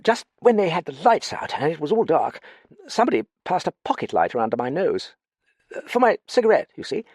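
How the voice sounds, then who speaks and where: A man voicing a naive, flustered young gentleman with hesitant, stammering upper-class slang. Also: a little high, big pitch swings, a little fast, slightly rough, no room sound, studio quality, pitched above the performer's own voice. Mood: chatty, sheepish. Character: plump young English gentleman, naive, flustered, rather foolish.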